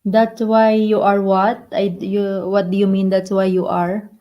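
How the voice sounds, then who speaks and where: Slow-paced Filipino woman chatting on stream. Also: mid-range voice, level tone, muffled recording. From Philippines. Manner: halting, repeats phrases in simple second-language English. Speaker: live stream host. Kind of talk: casual live stream chat.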